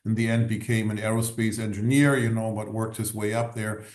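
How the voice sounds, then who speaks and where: A man's voice: fairly low pitch, level tone. Middle-aged german guy on an interview, lots of you know and restarts, sounds kinda echoey. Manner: halting, many "you know" fillers and restarts. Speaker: guest, former executive. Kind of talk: interview answers. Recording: roomy echo.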